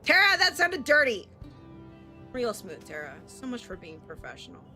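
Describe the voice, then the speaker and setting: A woman streamer reading a visual novel aloud and commenting casually, animated, expressive and free with swearing. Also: fairly high pitch, moderate pace. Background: game music.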